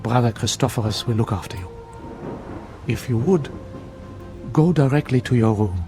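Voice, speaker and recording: An actor playing a grave, fervent older monk in a radio drama, speaking in formal, measured, preachy phrases. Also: male voice, medium pitch, lively intonation, music in the background, natural voice. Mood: calm, authoritative. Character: solemn bearded older monk, fervent guardian of truth. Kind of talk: classic radio drama acting.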